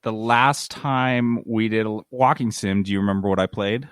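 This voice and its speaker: A man's voice: lowish voice, fairly even intonation, normal speed, a bit bright, clear, resonant tone, no room sound, studio quality. A man chatting casually as a gaming podcast host, with loose, irreverent, sweary talk. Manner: profane, irreverent.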